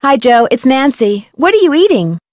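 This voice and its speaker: A woman voicing a friendly, curious young detective in a video game, speaking in a composed, calm way. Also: high voice, normal speed, dry recording, clean studio sound.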